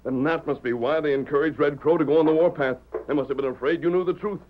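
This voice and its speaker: An actor playing a brave, righteous cowboy hero with earnest, commanding, proper diction in a 1930s radio western. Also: male voice, fairly high pitch, fast, a little hiss or hum, lightly acted. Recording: muffled old 1930s radio recording.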